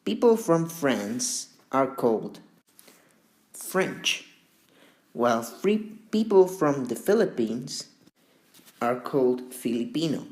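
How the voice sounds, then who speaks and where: A man teaching a beginner English lesson, speaking slowly and deliberately with long pauses between words. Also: medium pitch, big pitch swings, darkish tone, rough recording.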